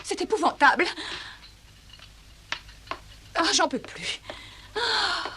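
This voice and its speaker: A woman playing a fussy, excitable grown-up looking after children in a dubbed cartoon, theatrical and exclamatory. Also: very high-pitched, slow, exaggerated voice. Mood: exasperated. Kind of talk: animated cartoon dub acting. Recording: noisy old cartoon soundtrack.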